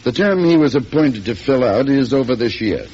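A wary, earnest political adviser in a 1940s radio play, very husky and lively in intonation. He sounds urgent but deferential, on a dull old recording. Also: medium pitch, normal speed. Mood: brisk, respectful. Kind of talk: 1940s radio drama acting.

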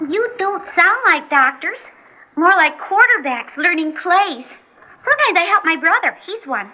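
A woman's voice: extremely high pitch, very animated, moderate pace. A woman playing a frail, frank little girl patient with a childlike, plaintive manner in an old-time radio drama. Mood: tired, frank. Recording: muffled vintage radio recording.

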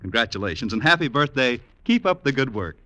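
A man's voice: medium pitch, big pitch swings, a little fast, pushed and bright. A man with an American accent reading scripted 1950s network radio announcements in a formal, polished, emphatic way.

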